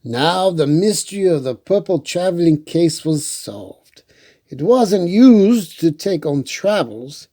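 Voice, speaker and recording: A children's storytime host reads a picture book aloud in a soft-edged, mellow tone. The pace is slow and the pitch swings widely, with expressive storytelling and playful little asides. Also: no room sound, clean studio sound.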